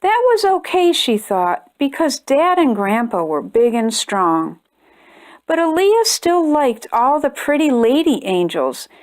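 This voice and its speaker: A woman hosting a children's storytime, reading a picture book aloud with expressive, sing-song storytelling. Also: high voice. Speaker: storytime host.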